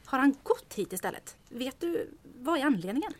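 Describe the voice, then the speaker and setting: An actress playing a curious, efficient military secretary in a comic audio drama, polite and chatty. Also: female voice, high voice, animated.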